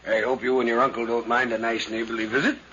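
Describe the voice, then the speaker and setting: A man's voice: medium pitch, little pitch movement, fast, pushed and bright, natural voice. An actor playing a genial, sociable male neighbor, polite and chatty, in 1950s radio drama style. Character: affable, chatty lonely male neighbor dropping by. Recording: muffled, faintly hissy 1951 radio recording.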